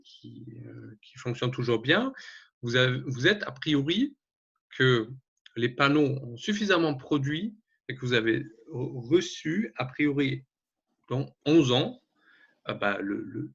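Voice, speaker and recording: A man with a German accent, a native German speaker, explaining slides haltingly as an advisor in an online webinar, often correcting himself. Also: mid-range voice, gravelly, a bit bright, husky. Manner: halting, many hesitations and self-corrections. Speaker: energy advisor presenting a webinar. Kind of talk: explanatory slide presentation, semi-spontaneous. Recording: dull, lo-fi webinar audio.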